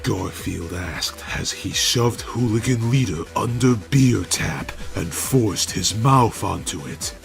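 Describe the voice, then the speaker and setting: A man narrates a story with slow, deliberate gravity. His low voice swings widely in pitch and has a stilted, mock-serious delivery. Faint music sits behind the reading. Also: lively intonation, creaky. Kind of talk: scripted dramatic story narration.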